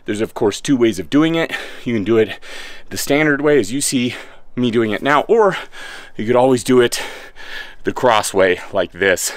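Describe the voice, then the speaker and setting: A man's voice: mid-range voice, animated, normal speed, dry recording. Chill gym bro coach in his 30s, hyped but easygoing, dry close mic. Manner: laid-back, enthusiastic, says "baby". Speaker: fitness coach. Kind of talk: workout voice-over instruction. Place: outdoor home gym by a garage.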